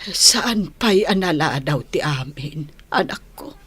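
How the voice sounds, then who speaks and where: An actress with an Ilocano accent playing a worried, caring middle-aged mother in a radio drama, gently admonishing her son. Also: deep voice, animated, takes it slowly, husky, full character voice. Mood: caring, concerned. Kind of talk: Filipino radio drama acting.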